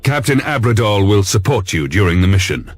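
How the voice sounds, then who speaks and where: An actor playing a stern, commanding space navy lord admiral in a game, giving formal orders with husky authority. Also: male voice, on the low side, very animated, a little fast. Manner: formal, authoritative military briefing. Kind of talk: video game briefing. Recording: music underneath.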